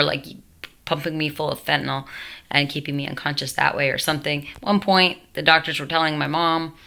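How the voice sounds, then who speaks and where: A woman's voice: sits low, creaky, bright, forceful tone. A young woman vlogging at home, telling her story in a rambling, self-interrupting way full of fillers, her voice changed after a tracheotomy. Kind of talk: casual storytelling to camera.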